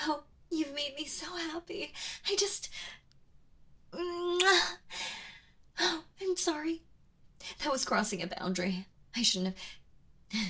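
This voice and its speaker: Breathy, lovesick yandere woman, acted out by a woman. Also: on the high side, full character voice. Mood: overjoyed, tearful, then flustered, apologetic. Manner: hesitant, pleading, soft-spoken with breathy drops into whispers. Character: kind, obsessive yandere woman, lovesick and anxiously possessive captor. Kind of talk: intimate ASMR audio roleplay.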